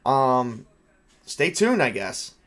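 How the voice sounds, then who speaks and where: A man's voice: medium pitch, sing-song, very slow, a bit bright. A man running a tabletop role-playing session as game master, talking casually and repeating phrases as he thinks aloud. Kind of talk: casual unscripted game narration and chat.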